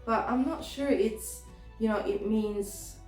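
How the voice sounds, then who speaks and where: A woman with a sore throat, a little hoarse, giving a casual tarot reading in a chatty, hesitant way with many restarts. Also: fairly high pitch, slow pace, darkish tone, reverberant, soft background music. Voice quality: slightly hoarse. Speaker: tarot reader.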